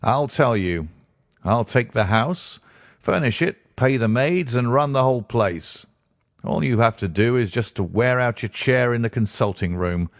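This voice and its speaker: A man voicing a brusque, bustling gentleman who asks blunt questions in an audiobook. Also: mid-range voice, expressive, unhurried, dry recording, studio quality. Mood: businesslike. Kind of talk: audiobook character reading.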